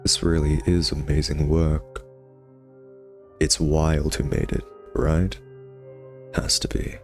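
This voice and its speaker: A man's voice: extremely low pitch, level tone, takes it slowly, faint music behind, heavily acted. A man playing a cocky, sardonic vampire nemesis who teases and taunts the listener in an intimate ASMR roleplay. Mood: smug, teasing.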